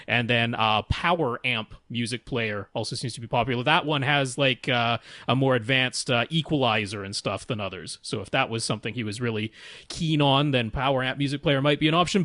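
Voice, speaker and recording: A man's voice: medium pitch, a bit bright, no echo, studio quality. A man co-hosting a podcast, giving casual tech advice in a candid, hesitant, self-deprecating way. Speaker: podcast co-host.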